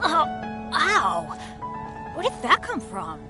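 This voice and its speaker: A woman's voice: high voice, wildly swooping pitch, takes it slowly, over music, heavily acted. A woman playing an upbeat, earnest young adventurer who is hapless but cheerful, in a video game. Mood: startled, pained. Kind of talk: English video game voice acting.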